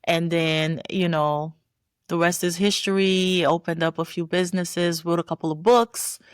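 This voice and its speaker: A woman physician guest from Haiti, telling her story in a relaxed interview chat and laughing easily. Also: fairly low pitch, a little slow, clean tone, no room sound, studio quality.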